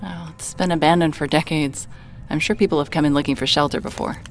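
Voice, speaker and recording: A woman playing a calm, observant young vampire researcher in an audio drama, with a matter-of-fact, casual delivery. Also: sits low, fairly quick, a little hiss or hum. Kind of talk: audio drama acting, explanatory.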